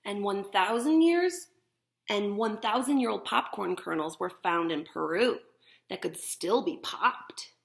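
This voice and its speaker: Lady teacher doing storytime for little kids, really animated, gravelly creak in her voice. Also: mid-range voice, measured pace. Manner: expressive, explaining simply to young children. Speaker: teacher reading a story to children. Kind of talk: animated picture-book read-aloud with demonstration asides.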